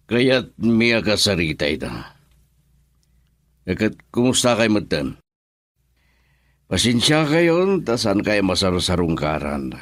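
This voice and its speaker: Assertive ilocano guy in a family drama, really low voice, lively swings, dry sound. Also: no room sound, full character voice. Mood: earnest. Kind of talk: Ilocano radio drama acting.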